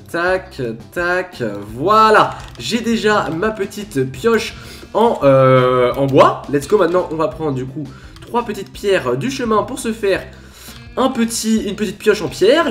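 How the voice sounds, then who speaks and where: A man with a stuffy, congested voice giving chatty, playful Minecraft commentary as a gaming video creator. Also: high voice. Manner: chatty, playful, bursts into laughing shouts. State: stuffy with a cold. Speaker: gaming YouTuber.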